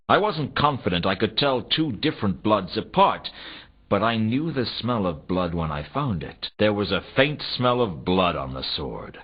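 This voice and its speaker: An actor playing a weary, wry werewolf private detective, narrating a noir game's inner monologue in a deadpan, brooding way. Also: male voice, on the low side, breathy edge, full character voice. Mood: wry, grim. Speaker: narrator and protagonist of a detective adventure game. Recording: dull, compressed 1990s game audio.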